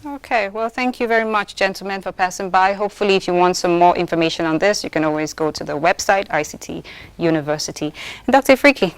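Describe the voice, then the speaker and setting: Brisk woman hosting a TV interview. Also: fairly low pitch, no room sound. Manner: brisk, frequent affirming interjections. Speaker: TV talk show host. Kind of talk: broadcast interview. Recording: dry studio sound.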